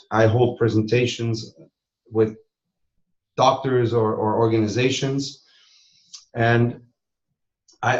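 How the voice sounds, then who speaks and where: Male interviewee, medium pitch, conversational delivery, slightly muffled sound. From Switzerland. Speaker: interview guest, hypnosis trainer and school owner. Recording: roomy echo, slightly dull sound.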